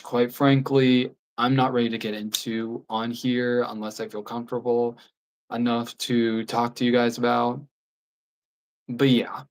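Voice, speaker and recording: A 24-year-old livestreamer talking in a casual, candid and rambling way, with soft laughs here and there. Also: mid-range voice. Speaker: YouTube livestreamer.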